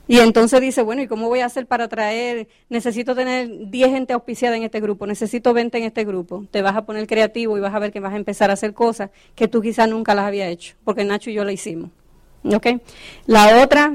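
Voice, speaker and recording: A woman's voice: fairly high pitch, dry recording. A woman giving a motivational business training talk, often checking in with her audience. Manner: coaching, asks the audience questions.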